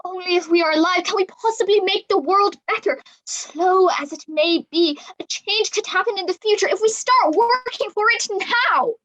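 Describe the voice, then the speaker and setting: An earnest young heroine of a sci-fi stage play, acted by a woman. Her voice is extremely high, with a troubled, sincere delivery. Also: moderate pace, hardly any pauses, a bit bright, highs cut off. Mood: resolute. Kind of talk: reader's theatre acting.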